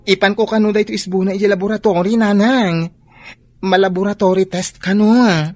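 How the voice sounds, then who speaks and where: An actress playing an earnest, fussing grown daughter in a radio drama, with an Ilocano accent. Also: female voice, mid-range voice, steady tone, fairly quick, darkish tone, close to an everyday voice. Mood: dutiful.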